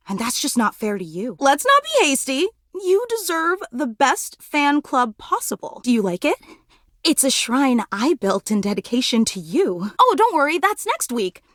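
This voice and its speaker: A woman's voice: fairly high pitch, no echo, studio quality, natural voice. A woman playing a clingy, overemotional superfan in a video game, with a melodramatic, effusive, fawning manner. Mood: adoring, earnest, then reverent, gushing. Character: gushing, clingy, overemotional female superfan, obsessively devoted. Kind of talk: video game character voice acting.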